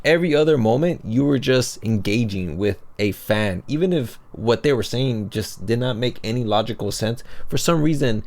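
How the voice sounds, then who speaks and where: A man's voice: medium pitch, animated, moderate pace, hardly any pauses, a little mellow. A man narrating online commentary in a casual, conversational way, as a video essayist would.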